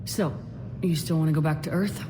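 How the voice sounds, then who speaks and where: An actor playing a resolute, composed military commander in a video game, measured and terse. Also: male voice, a little high, steady tone, background noise, natural voice. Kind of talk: dramatic video game dialogue. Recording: reverberant hall, music behind. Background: music.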